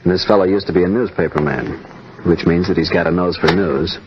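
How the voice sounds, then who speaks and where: An actor playing a matter-of-fact homicide detective in a 1950s radio drama, talking in a clipped, deliberate way. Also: male voice, sits low, steady tone, noisy background, natural voice. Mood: businesslike. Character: matter-of-fact, hard-boiled homicide police sergeant. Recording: dull old radio recording.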